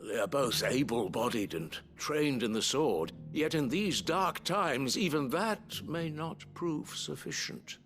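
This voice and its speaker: Noble's steward, formal, deferential, deliberate pace, very varied intonation, fantasy game. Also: male voice, medium pitch, animated, a bit bright, soft background music. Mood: grave. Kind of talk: scripted fantasy game dialogue.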